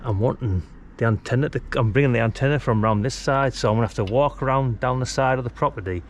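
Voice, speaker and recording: A man's voice: medium pitch, fast talker. A British man giving a casual, colloquial hands-on DIY tutorial while he works. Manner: informal, colloquial, talking while working. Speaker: DIY vlogger, radio hobbyist. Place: outdoors at a house's brick wall. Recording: clear background noise, outdoor work ambience.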